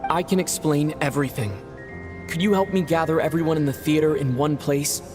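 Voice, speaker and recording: An actor playing a calm, reserved traveling hero in a video game, with a measured and thoughtful delivery. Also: male voice, on the high side, close to an everyday voice. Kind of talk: video game dialogue acting. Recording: studio voice under clear game music. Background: background music.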